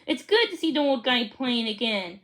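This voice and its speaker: A woman's voice: fairly high pitch, sing-song, moderate pace, a bit bright. A woman voicing an amused, fond dad from a children's storybook, exclaiming with wonder. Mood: fond, pleased.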